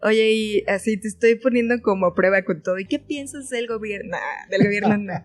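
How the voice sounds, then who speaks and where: A woman's voice: mid-range voice, brisk. Casual, playful Mexican woman host. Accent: Mexican Spanish. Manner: playful, informal. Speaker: podcast host, interviewer. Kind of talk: casual chat. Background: soft plucked guitar music.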